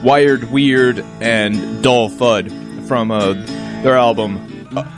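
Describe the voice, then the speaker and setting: A man co-hosting a radio show in casual banter, joking and a little halting. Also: medium pitch, over loud music.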